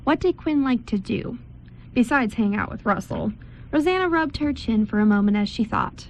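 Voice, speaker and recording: A woman's voice: fairly high pitch, animated, normal speed, a little hiss or hum, poor audio. A woman reading scripted story narration aloud as narrator, occasionally stumbling over the words.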